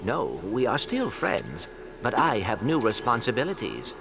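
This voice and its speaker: An actor playing a small, earnest, polite robot in a video game, speaking precisely and formally. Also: male voice, medium pitch, huge pitch swings, measured pace, creaky, background noise, heavily acted. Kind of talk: video game cutscene acting.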